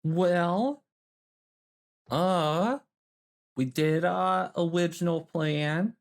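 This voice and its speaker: Halting, gravelly-edged man at game table. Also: high voice. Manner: halting, rambling. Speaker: player. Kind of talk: casual in-character tabletop role-play.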